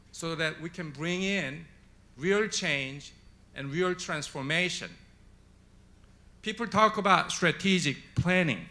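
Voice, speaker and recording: A man's voice: on the high side, pushed and bright. A man from Korea, speaking at a conference panel in an emphatic way, with rhetorical questions. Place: conference hall on stage.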